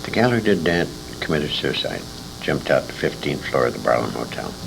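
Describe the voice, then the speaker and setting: Old american guy rambling through memories in an interview, gravelly voice, noisy rumbly tape. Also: sing-song, fairly quick. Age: older. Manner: rambling, searching memory for names. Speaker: interviewee, former radio actor. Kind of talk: reminiscing in an interview.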